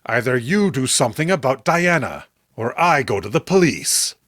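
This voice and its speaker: A man's voice: medium pitch, sing-song, normal speed, a bit bright, no room sound, clean studio sound. A man playing a horrified, indignant man in a horror comic, speaking in a formal, melodramatic, emphatic way. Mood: grave, accusing. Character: horrified, indignant man confronting his deranged friend. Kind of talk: horror comic read-along acting.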